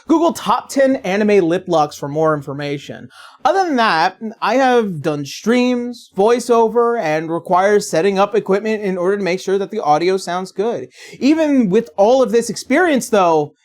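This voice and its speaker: Animated, sarcastic video essayist. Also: medium pitch. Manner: sarcastic, theatrical, expressive. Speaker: video essayist, channel host. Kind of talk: scripted comedic video essay narration.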